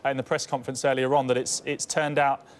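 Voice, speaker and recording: A man interviewing for sports news, putting his questions in a hesitant, halting way. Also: medium pitch, flat delivery. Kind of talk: live broadcast interviewing.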